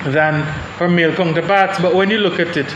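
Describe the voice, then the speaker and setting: A man's voice: fairly high pitch, steady tone, brisk, lo-fi sound. A young man speaking on a panel, thoughtful and hesitant with many restarts. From Saint Vincent and the Grenadines. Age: young adult. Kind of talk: panel discussion. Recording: echoey room with background noise.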